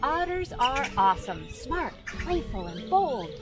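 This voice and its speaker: A woman's voice: high voice, sing-song, slow pace, music in the background. An older woman, a zoo guide, reads a rhyming picture book aloud outdoors by a pond in a sing-song storytime manner.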